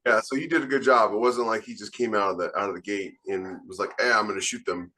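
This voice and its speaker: A man's voice: on the low side, steady tone, fast talker, bright, forceful tone. A man co-hosting a podcast, talking casually but emphatically as he argues his opinions at length. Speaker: podcast co-host and military sci-fi author. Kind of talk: casual opinionated panel discussion.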